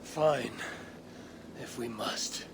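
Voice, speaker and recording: A woman playing a blunt, suspicious Viking warrior in a video game, with a low, husky voice and a Scandinavian accent. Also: extremely slow, heavily acted. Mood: grudging. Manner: blunt, terse, challenging. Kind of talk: video game cutscene acting. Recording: game scene with ambient noise.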